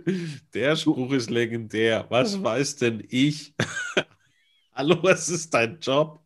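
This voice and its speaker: A man's voice: mid-range voice, animated, slow pace. Flippant man in casual banter. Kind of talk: casual chat, joking commentary.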